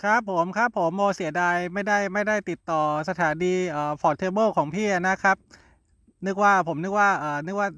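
High-voiced man talking on amateur radio. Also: moderate pace, a bit bright, a little hiss or hum. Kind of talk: casual amateur radio exchange. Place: outdoors in a garden.

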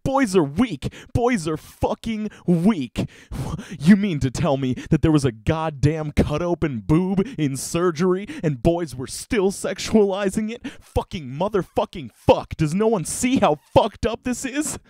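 Man putting on an outraged, high-pitched online ranter. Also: animated, unhurried, warm tone, no echo, studio quality. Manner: raised, exasperated, profane. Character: outraged, ranting online poster.

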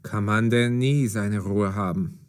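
A man playing a brisk working man in an audio story, speaking in clearly enunciated lines. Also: lowish voice, heavy background noise, close to an everyday voice. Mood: grumpy. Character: taxi driver and cemetery guard, brisk adult man. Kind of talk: scripted audio story for language learners.

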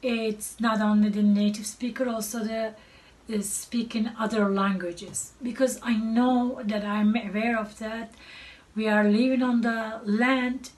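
A middle-aged woman with a Turkish accent, originally from Turkey and a native Turkish speaker, giving a presentation about her work as an artist. She speaks slowly and haltingly and often pauses to search for words. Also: mid-range voice. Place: cluttered art studio. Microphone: far camera. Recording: distant mic, faint room noise.

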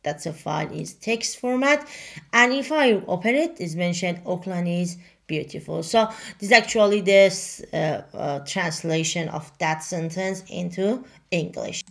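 Gravelly woman, native Farsi speaker. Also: lowish voice, a bit bright. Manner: halting, many fillers and restarts, non-native grammar. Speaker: software tutorial presenter. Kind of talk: screen-recorded software walkthrough.

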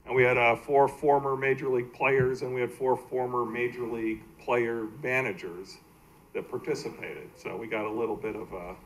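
An American man giving a slide presentation at a public meeting as a team executive, halting and plain-spoken. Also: mid-range voice, fairly even intonation, a little hiss or hum, poor audio. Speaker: baseball team executive presenting to a city council. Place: indoor council meeting room.

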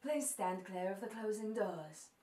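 A woman playing a polite, measured subway train announcer, giving a transit announcement. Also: mid-range voice, lively intonation, crowd noise, lightly acted. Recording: roomy echo, faint noise.

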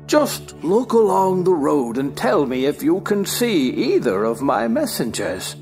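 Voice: medium pitch, very animated, unhurried, a little mellow, soft background music. An actor playing a pompous, dotty king in an audiobook play, theatrical and fussy. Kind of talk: audiobook stage play acting.

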